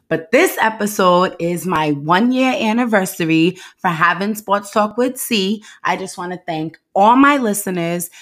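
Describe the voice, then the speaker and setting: A woman's voice: medium pitch, a bit bright. A woman hosting a sports podcast, introducing the show and interviewing a guest in an informal, upbeat way.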